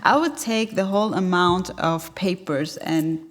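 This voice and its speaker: Lower-voiced animated woman panelist. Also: a little slow. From Syria. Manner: animated, laughing often, searching for words. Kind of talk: panel discussion. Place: large hall stage.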